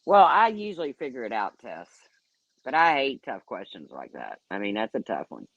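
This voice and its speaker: A woman's voice: rumbling low, very animated, moderate pace, creaky, no room sound, lo-fi sound. A woman in her late fifties hosting a casual livestream, talking bluntly and sarcastically as she rambles and answers her chat. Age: 50s. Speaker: YouTube livestream host.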